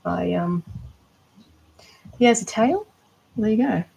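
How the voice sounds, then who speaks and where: A woman's voice: mid-range voice, animated, slow, vocal fry, dark tone, a little hiss or hum, low-quality recording. A woman with an Australian accent chatting casually during a livestream, rambling and thinking aloud while she crafts. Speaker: art doll maker.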